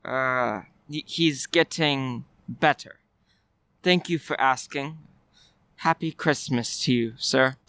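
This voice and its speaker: A man's voice: mid-range voice, takes it slowly, pushed and bright, clear, resonant tone. A man playing a humble, kindly clerk in a radio play, hesitant, with a nervous stammer. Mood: nervous, polite. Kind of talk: old-time radio play acting.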